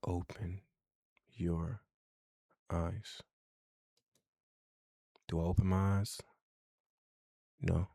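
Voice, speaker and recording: A man reads horror game text aloud in a low, hushed, husky voice. The sound is dry and close, with a dramatic storytelling delivery. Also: little pitch movement, extremely slow, long pauses, dry recording. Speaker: game player reading narration aloud.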